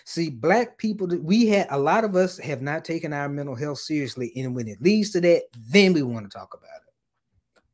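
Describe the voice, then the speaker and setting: An earnest man speaking as a guest on a casual podcast, slowing down to stress his points. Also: a little high, animated.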